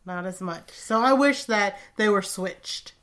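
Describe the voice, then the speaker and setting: A woman's voice: medium pitch, measured pace, fairly bright tone. Chatty, teasing woman podcast co-host. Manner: chatty, laughs often, teasing. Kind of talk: casual chat about songs.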